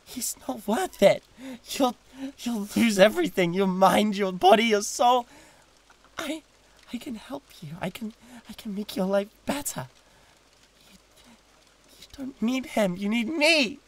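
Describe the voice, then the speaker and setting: A man playing a possessive, flustered demon with a British accent and a breathy voice, halting and repeating himself in a flirtatious audio roleplay. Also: sits high, lively intonation, heavily acted. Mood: desperate, pleading. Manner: halting, repeating words with wounded pride. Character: jealous, possessive male demon, flustered and pleading.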